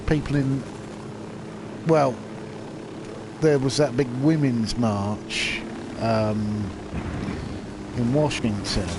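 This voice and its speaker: Slow, nasal man livestreaming. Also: medium pitch. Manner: hesitant, many ums and restarts. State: nasal, bunged up from hay fever. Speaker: livestreamer playing a video game. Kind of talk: casual rambling commentary over gameplay. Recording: game sounds and vehicle noise behind. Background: video game audio with vehicles.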